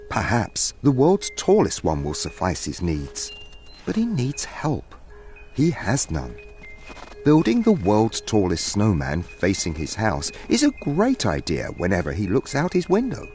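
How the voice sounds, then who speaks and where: A male narrator tells a scripted tale with theatrical care. His voice is deep and moves expressively up and down. Soft music plays underneath. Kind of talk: scripted dramatic story narration.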